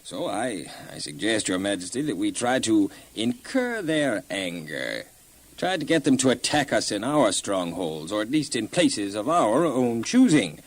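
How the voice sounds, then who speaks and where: A man's voice: lowish voice, sing-song, a little slow, heavily acted. An actor playing a cautious, cunning general, obsequious and a little hesitant before his king, in an audio Bible drama. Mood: cautious, deferential. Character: deferential army general, cautious, cunning and ruthless.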